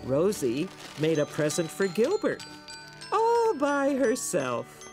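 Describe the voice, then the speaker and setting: A woman's voice: mid-range voice, huge pitch swings, takes it slowly, a little mellow, faint music behind. A woman narrating a preschool cartoon like a kindly storybook reader for small children. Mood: warm, storytelling.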